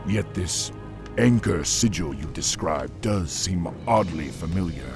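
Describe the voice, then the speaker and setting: Orc war chief, deep tone, rough edge, measured and commanding, game music behind. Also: male voice, lively intonation. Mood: calm. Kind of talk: video game cutscene acting.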